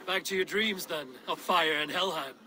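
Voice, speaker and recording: A man playing a stoic, wry Viking warrior in a game cutscene, speaking in a measured, dry way. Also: fairly high pitch, lightly acted. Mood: solemn. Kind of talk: dramatic game cutscene acting.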